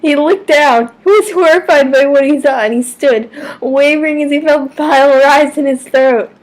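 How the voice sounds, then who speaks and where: A woman giving a mock-dramatic amateur story reading, theatrical and deliberate, now and then stumbling over words. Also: sits high, a little mellow. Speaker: amateur reader of fan fiction.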